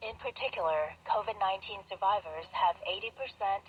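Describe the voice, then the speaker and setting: A woman's voice: medium pitch, a little fast, lo-fi sound. Woman newsreader on noisy shortwave radio. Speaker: news presenter and announcer. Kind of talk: scripted news narration. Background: clear radio static and noise.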